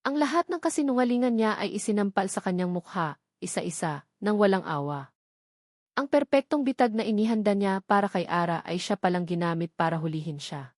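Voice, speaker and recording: A woman's voice: mid-range voice, expressive, fairly quick, darkish tone, no echo. Filipino woman storyteller, close dry sound. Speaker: story narrator. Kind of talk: scripted dramatic storytelling narration.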